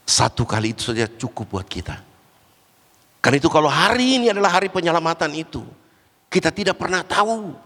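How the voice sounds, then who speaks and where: A man with a cold and a cough preaches a church sermon in a fairly high voice. He rises into loud, lifted exhortation, then drops to low, hushed asides. The long reverb of a big church hall trails after his words. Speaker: guest preacher. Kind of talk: Pentecostal sermon.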